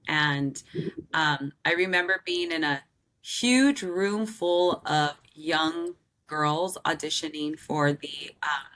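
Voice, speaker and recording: This is a woman in her thirties, a singer from Southern California, talking about how she started singing. Her voice is loud and strong, with a bright, forward edge that sits slightly low. She talks in an animated, loose way, full of fillers and quick laughs. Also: low-quality recording. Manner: animated, laughs often, lots of "um" and "like". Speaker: singer and guest. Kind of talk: casual interview storytelling.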